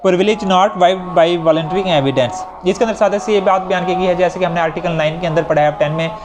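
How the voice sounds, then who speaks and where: A man with a Pakistani accent gives an explanatory law lecture, reading out clauses and then repeating and rephrasing them in a didactic way. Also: a little high. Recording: clearly noisy recording with ambient sound.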